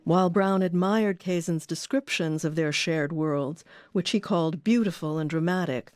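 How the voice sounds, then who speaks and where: A woman's voice: on the low side, normal speed, a little mellow, dry recording, clean studio sound. A woman reading scripted documentary narration as the host of an audio series. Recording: music under the voice.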